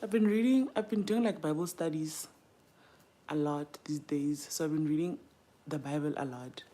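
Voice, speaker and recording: Chatty young South African woman, lowish voice. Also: moderate pace, dark tone. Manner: chatty, informal, thinks aloud and trails off. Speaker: livestream host. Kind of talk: casual chat while doing makeup.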